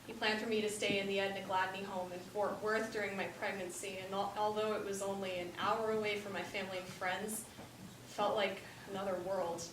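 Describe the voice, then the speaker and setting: A woman's voice: medium pitch, little pitch movement, brisk, natural voice. A woman playing a regretful middle-aged birth mother in an earnest, emotional stage monologue. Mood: pained, regretful. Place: indoor stage, mic far off. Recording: roomy, distant stage recording with hiss.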